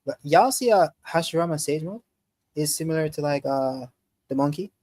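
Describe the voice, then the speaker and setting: Male podcast panelist, slightly high voice, dry close sound, slangy and insistent in casual fan debate. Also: normal speed, darkish tone, no room sound. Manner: slangy, insistent, repeatedly addressing others as bro.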